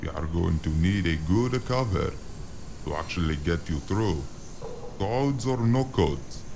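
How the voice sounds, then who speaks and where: A man playing an amused, condescending underworld broker in a noir audio drama, with an unhurried, patronizing delivery. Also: deep voice, lively intonation, heavily acted. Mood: businesslike. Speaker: voice actor. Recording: clearly noisy with ambient sound behind.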